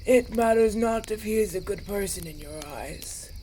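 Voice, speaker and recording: A woman's voice: medium pitch, moderate pace, mellow, a little hiss or hum, natural voice, low-quality recording. Stern, solemn village elder, acted by a woman. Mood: solemn, unbending. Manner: formal, solemn, declarative. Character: stern, unyielding village elder, coldly devout. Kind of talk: roleplay audio acting.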